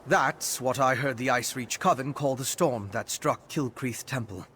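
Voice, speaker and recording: An actor playing an earnest, inquisitive male investigator in a fantasy video game, speaking in a measured, articulate, studious way. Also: medium pitch, fairly bright tone, slight background noise, close to an everyday voice. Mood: grave, explanatory. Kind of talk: video game character dialogue. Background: game music.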